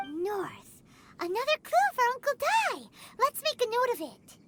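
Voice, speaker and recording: A woman voicing a tiny, chirpy, childlike fairy companion who is nosy and excitable in a game. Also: very high voice, measured pace, background noise, heavily acted. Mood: excited. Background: soft game music.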